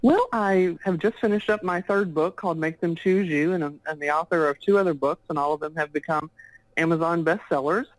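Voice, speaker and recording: A woman's voice: fairly low pitch, fairly quick, no echo, low-quality recording. A woman with a Texan accent, a guest author and business coach answering interview questions in a chatty, fluent way over a remote call. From Texas, US. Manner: chatty and fluent, often laughs while talking.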